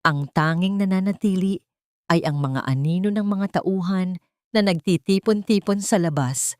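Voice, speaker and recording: A woman's voice: fairly low pitch, warm tone, no room sound, studio quality. A woman reading a scripted dramatic story as narrator, in a solemn, melodramatic manner.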